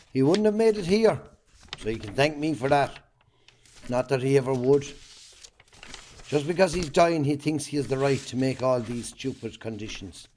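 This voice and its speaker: A man's voice: mid-range voice, a little mellow, a little hiss or hum, lightly acted. A man acting a bitter, cantankerous Irish farmer in an amateur drama, curt and sarcastic.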